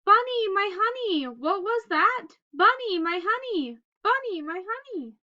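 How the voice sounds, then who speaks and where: A loving mother rabbit with a high, bright voice, played by a woman reading aloud. She speaks in singsong endearments, tender and reassuring, like a storybook parent. The recording is slightly dull. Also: big pitch swings, a little slow, full character voice. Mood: calling out.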